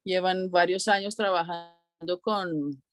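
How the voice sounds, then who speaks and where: A woman speaking as a guest in a casual interview on an online call, halting as she searches for words. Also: lowish voice, steady tone. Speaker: interview guest, cultural organiser.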